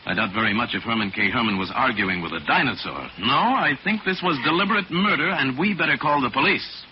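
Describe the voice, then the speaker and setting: A man playing a calm, shrewd gentleman detective and mystic hero in 1940s radio drama style, speaking with deliberate authority. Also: mid-range voice, natural voice. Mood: calm, analytical. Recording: muffled, noisy 1940s radio recording.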